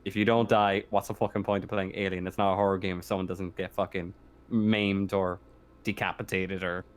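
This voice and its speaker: A man's voice: low-pitched, flat delivery, fairly quick, clear, resonant tone. A man running a tabletop roleplaying game, chatting casually with his players in a rambling, hesitant, sweary way.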